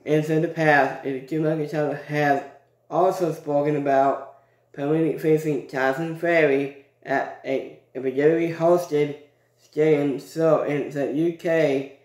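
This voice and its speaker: A young man hosting a wrestling news channel, reading updates to his webcam slowly and carefully, with repeats, in a small room. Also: a little high, steady tone, darkish tone. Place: small indoor room with ceiling lights. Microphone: webcam at desk, low angle. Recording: echoey room, webcam mic.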